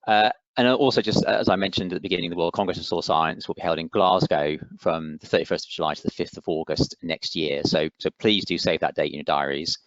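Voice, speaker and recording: A man's voice: sits low, a little fast, few pauses, no echo. A man hosting an online webinar, speaking politely and formally with some hesitation as he gives announcements. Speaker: webinar host. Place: online video call. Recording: dull, dry online call audio.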